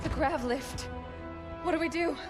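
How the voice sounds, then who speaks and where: A woman voicing an excitable, easily startled young comrade in a video game. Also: high-pitched, unhurried, over loud music. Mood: startled, panicky. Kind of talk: video game cutscene acting. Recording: loud soundtrack and crashes over voice.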